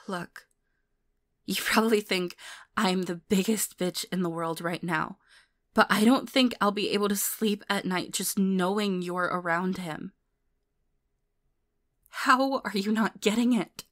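A woman's voice: mid-range voice, takes it slowly, pauses often, natural voice. A woman playing a bitter, protective mother in a dramatic audio roleplay, with a confrontational, intense manner. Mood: stern, hushed.

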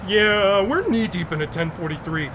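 Junior cop acted by a man: high, lively voice, flustered and stammering, under very loud street noise. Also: moderate pace, lightly acted. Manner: hesitant, nervous stammering. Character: flustered, obedient male junior police officer. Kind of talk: radio play acting.

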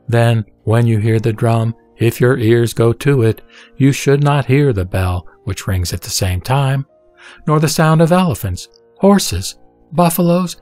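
A man's voice: lowish voice, darkish tone. Man reciting scripture over music. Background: background music.

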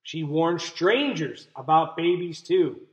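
Lively, slow-paced young man reading aloud. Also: a little high. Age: young adult. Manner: expressive, explanatory, asks listeners questions. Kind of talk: children's picture book read-aloud with commentary. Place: small indoor room. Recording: roomy, echoey room sound.

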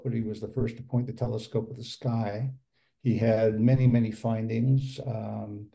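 An older man giving a casual club presentation over a video call from a home room, halting with many fillers and restarts. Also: mid-range voice, vocal fry, dark tone, poor audio. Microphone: video call webcam.

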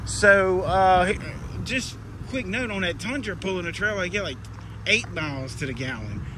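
A man's voice: sits high, animated, normal speed, pushed and bright. A middle-aged man running a pressure washing business, giving a casual, plainspoken how-to walkthrough outdoors at a job site. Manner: plainspoken, folksy, instructive. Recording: faint outdoor background noise.

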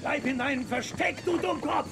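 A man's voice: very high-pitched, normal speed. A man playing an irritable, scornful outlaw gang leader in a western children's audio drama. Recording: clear outdoor background noise.

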